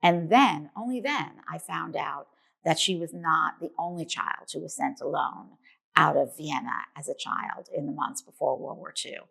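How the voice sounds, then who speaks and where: A woman from New York with a notably low voice answers interview questions. She speaks as a documentary filmmaker, telling her story in an earnest, reflective way. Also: gravelly, a bit bright.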